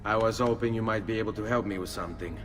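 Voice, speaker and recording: A man's voice: on the low side, very fast, a bit bright, faint music behind, lightly acted. An actor playing a determined young nobleman turned assassin with an Italian accent, courteous but direct in a game cutscene. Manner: earnest, polite but firm. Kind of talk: video game cutscene acting.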